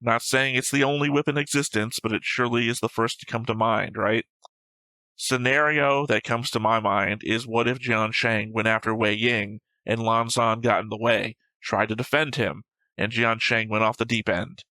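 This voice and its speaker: Flippant man with a bright, dry voice. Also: medium pitch, steady tone, moderate pace, no echo, poor audio. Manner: flippant, argumentative. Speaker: reaction show co-host. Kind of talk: casual discussion of an anime series.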